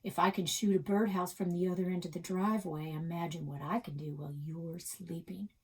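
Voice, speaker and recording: A woman's voice: on the low side, steady tone, fairly quick, a little mellow, husky. A woman storyteller voicing a tiny, devout, fearless mother who speaks firmly and defiantly in a read-aloud story. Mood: quiet menace. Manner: firm, defiant, emphatic.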